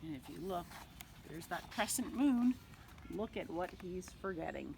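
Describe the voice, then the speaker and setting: Expressive woman reading a children's story, noisy background. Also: fairly low pitch, creaky. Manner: expressive storyteller, dramatic pauses and drawn-out words. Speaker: nursery school teacher reading aloud. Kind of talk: animated picture-book read-aloud for young children.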